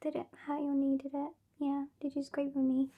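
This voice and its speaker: A woman playing a haughty, impatient British female vampire in an ASMR roleplay, whispering and speaking softly in a breathy, condescending way. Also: high voice, monotone, phone-quality sound, close to an everyday voice. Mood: mocking, grudging. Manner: slow, condescending, withering. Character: sassy, haughty, impatient female vampire scolding her weakling fledgling.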